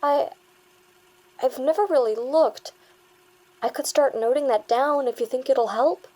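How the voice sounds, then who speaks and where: A woman acts a young female therapy patient with a high voice. The manner is earnest and hesitant, with an anxious, wary streak. It is played naturally, as audio drama. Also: measured pace, mellow. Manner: hesitant, earnest, stammering under pressure.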